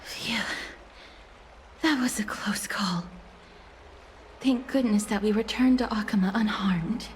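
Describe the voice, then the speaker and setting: A woman playing a gentle, polite and dutiful young warrior in a video game, speaking formally and earnestly. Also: medium pitch, slow, pauses often, slight background noise, close to an everyday voice. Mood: relieved. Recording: game music behind the voice.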